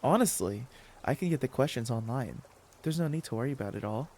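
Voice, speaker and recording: A man's voice: mid-range voice, expressive, a little fast, darkish tone, husky. A man voicing an anxious, awkward, eager schoolgirl in a dramatic story reading, rambling earnestly and easily flustered. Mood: reassuring.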